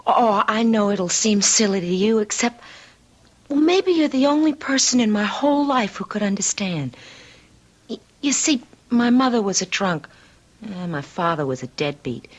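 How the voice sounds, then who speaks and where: A woman's voice: mid-range voice, lively intonation, normal speed, muffled recording, close to an everyday voice. An actress playing an earnest, lovestruck young woman with a hard shell, emotional and hesitant, in a radio mystery drama. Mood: earnest longing.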